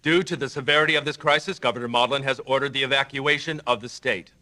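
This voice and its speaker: An actor playing a local TV news anchor, reading a scripted report in a formal, measured way. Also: male voice, medium pitch, steady tone, bright, forceful tone, slight background noise. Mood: solemn, formal. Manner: formal, measured newsreader delivery.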